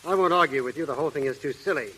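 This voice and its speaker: An actor playing a haughty, indignant and well-spoken swindler, pompous and formal in 1930s radio style. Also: male voice, on the high side, racing, bright, forceful tone. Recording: hissy, crackling 1930s radio recording.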